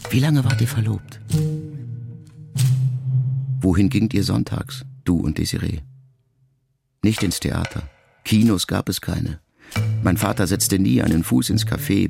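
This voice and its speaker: A man reading a literary memoir aloud as an audiobook, in a calm, measured first-person voice. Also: low-pitched, gravelly. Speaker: audiobook narrator.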